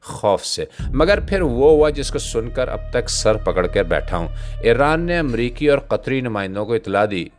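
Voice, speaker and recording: A man's voice: medium pitch, very animated, a little slow, soft background music. A man delivering scripted satirical political commentary in a sardonic, literary way. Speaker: commentator, host.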